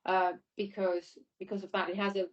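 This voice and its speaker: Female interviewee, informal and explanatory, circles back over her points, average pitch, muffled lo-fi online call audio. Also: flat delivery, a little fast. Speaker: air traffic controller being interviewed.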